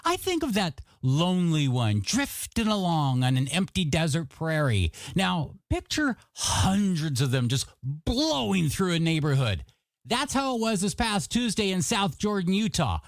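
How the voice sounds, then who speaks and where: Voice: medium pitch, big pitch swings, measured pace, no room sound, clean studio sound. A radio DJ with upbeat patter and playful, chatty asides between songs. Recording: music under the voice.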